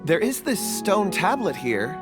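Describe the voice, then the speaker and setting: A man voicing a gentle, thoughtful companion who speaks in a measured way. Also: high-pitched, big pitch swings, loud music behind the voice. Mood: calm. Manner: measured, reflective. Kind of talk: video game voice acting.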